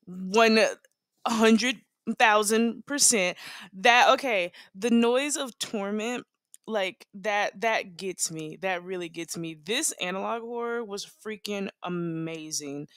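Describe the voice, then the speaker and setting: A woman hosting a casual reaction video, expressive and full of exclamations, recorded indoors at home. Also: medium pitch, slow pace, smooth, no echo, clean studio sound.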